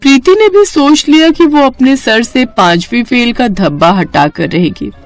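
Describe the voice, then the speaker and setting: A woman's voice: fairly high pitch, animated, a little slow. A woman narrating a scripted cartoon story as the storyteller. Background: faint music.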